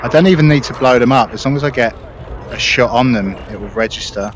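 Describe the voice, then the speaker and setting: A man's voice: medium pitch, fast. A man giving casual commentary on his own video game as he plays. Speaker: gamer commentating on own gameplay. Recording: clear engine-like game noise behind voice. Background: spaceship game sounds.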